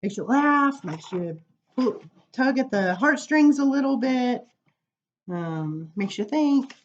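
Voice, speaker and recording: A woman reading children's poems aloud at story time, informal, with dramatic emphasis and drawn-out words. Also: sits high, animated, highs cut off, a little hiss or hum. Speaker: library story time reader.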